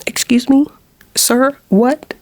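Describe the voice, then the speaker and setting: A woman in her forties with a low, monotone voice, talking haltingly about something personal in a vlog with nervous laughs while holding back tears. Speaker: vlogger.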